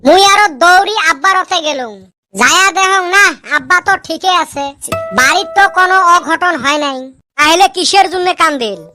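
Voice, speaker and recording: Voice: very high voice, normal speed, sharp tone, faint music behind, full character voice. A performer voicing a mischievous, bickering village boy in a comedy cartoon, speaking in the Rajbongshi dialect of northern Bengal. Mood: grumbling. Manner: colloquial, bantering, complaining.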